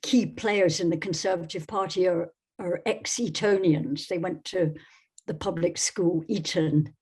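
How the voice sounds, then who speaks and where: Woman from Scotland, podcast guest. Slightly husky voice, thoughtful and halting delivery. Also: lowish voice, a little mellow. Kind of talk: conversational interview answers.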